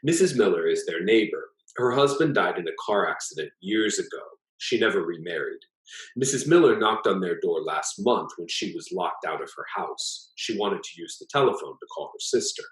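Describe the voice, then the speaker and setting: A low-voiced man reads literary fiction aloud in a measured way. His pitch moves expressively through the narration. The room adds a clear echo around him. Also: animated. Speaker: author reading own short story. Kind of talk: literary story reading.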